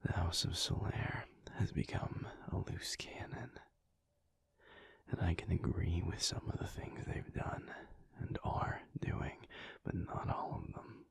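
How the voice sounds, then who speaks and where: A deep-voiced, gravelly alpha werewolf acted out by a man murmurs to his partner in an audio roleplay. He is a weary, pensive pack leader, thinking aloud in a hushed, breathy tone with long, halting gaps. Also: fairly even intonation, in a whisper, exaggerated voice. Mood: troubled, pensive. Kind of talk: intimate audio roleplay monologue.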